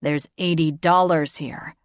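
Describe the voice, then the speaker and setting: A cold, controlling father, as a woman narrator puts him on, his voice pushed very low and hard. The accusations come clipped and menacing in a dry, close audiobook recording. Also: normal speed, no echo, natural voice. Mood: angry, accusing. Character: cold, controlling, furious middle-aged father. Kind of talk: audiobook character voice.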